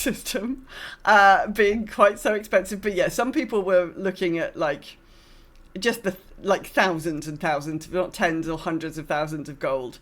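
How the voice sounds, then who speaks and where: Woman thinking aloud in casual podcast chat. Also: lowish voice. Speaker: podcast co-host.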